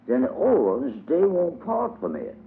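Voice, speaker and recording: A man's voice: mid-range voice, wildly swooping pitch, measured pace, voice pushed up. A man voicing an earnest old male folk preacher who teaches children in a drawling, sermonizing dialect. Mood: earnest preaching. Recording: tinny lo-fi old recording with faint hiss.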